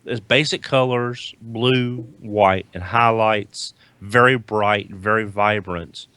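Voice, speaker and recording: A man with a fairly low voice chats casually as a podcast panelist. He talks haltingly, doubling back on words to stress them, in a dry recording. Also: fairly even intonation, sharp tone, smooth, no echo.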